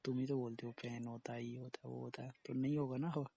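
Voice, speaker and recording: A man's voice: mid-range voice, level tone, fast talker, gravelly, warm tone, breathy edge. A young man playing a teasing, evasive boyfriend in a casual phone-call romance drama. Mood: calm, teasing. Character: teasing young boyfriend dodging blame over a pregnancy scare.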